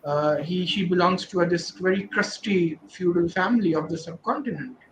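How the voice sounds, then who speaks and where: A man's voice: high voice, moderate pace, fairly bright tone, echoey room, a little hiss or hum, rough recording. A man discussing his translation of a novel, rambling with constant "you know" fillers. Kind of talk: virtual panel discussion.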